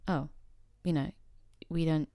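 A young woman from England, talking casually and wryly with many pauses and fillers. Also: low voice, steady tone, mellow, no room sound, clean studio sound. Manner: reflective, wry, lots of fillers and quoted voices. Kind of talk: casual conversation.

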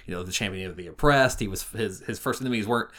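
A man co-hosting a podcast in casual conversation, rephrasing himself haltingly as he goes. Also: lowish voice, fairly bright tone. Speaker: podcast co-host. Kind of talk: casual chat.

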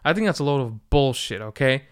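A thirty-year-old man talks through a casual solo podcast update in a smooth, clean-toned voice. He rambles, restarts his sentences and swears freely, with a tired edge from poor sleep. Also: mid-range voice, lively intonation, fairly quick. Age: 30s. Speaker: podcast host.

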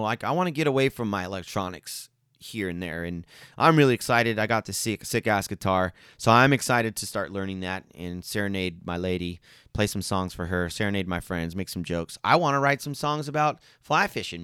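Man, podcast host, low smooth voice, dry close sound, rambling and laid-back. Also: fairly quick, a bit bright, no echo. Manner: rambling, laid-back, many fillers and restarts; slangy, says man and guys. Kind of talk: casual solo podcast chat.

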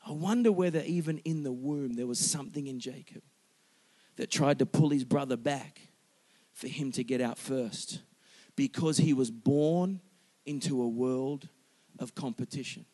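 A man in his forties preaching a sermon as a pastor, animated and emphatic, joking with his congregation. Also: mid-range voice, unhurried, slightly rough.

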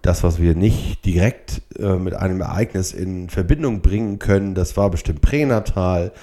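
A middle-aged or older man hosting a solo podcast, speaking reflectively and haltingly, with short blocks and pauses from a stutter. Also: low-pitched, mellow. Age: 50s or older. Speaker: podcast host.

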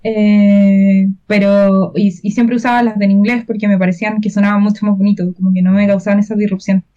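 A woman co-hosting a podcast, talking frankly in quick casual chat. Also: mid-range voice, level tone, dark tone, highs cut off. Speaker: podcast co-host.

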